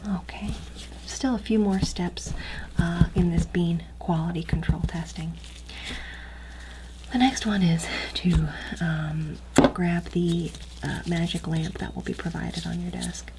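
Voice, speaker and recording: A woman with a slightly low voice gives soft, breathy ASMR instructions, often dropping to a whisper. She moves step by step in a hushed, halting way. There is clear background noise, with small clicks. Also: fairly even intonation. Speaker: ASMR performer playing a training instructor.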